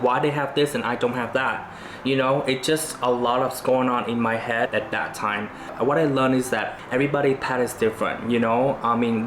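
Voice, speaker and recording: Chatty Vietnamese-accented young man vlogging. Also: medium pitch. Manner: chatty, many "you know" and "uh" fillers, frequent laughs. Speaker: YouTuber. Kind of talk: casual Q&A vlog. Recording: echoey room with faint noise.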